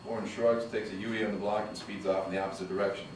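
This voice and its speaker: A man's voice: on the low side, fast talker. A man reading the stage directions aloud at a screenplay table read. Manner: steady, even reading of action lines. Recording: echoey room from far off with noise.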